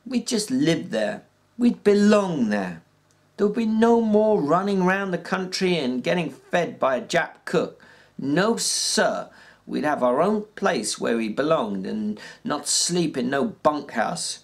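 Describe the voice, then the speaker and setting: A reader voicing a plainspoken ranch worker with a folksy rural drawl, pitched well above the narration and stumbling now and then. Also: big pitch swings, slow pace. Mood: wistful. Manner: raised pitch, stumbles and fillers. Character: plainspoken ranch worker man dreaming aloud. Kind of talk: audiobook reading.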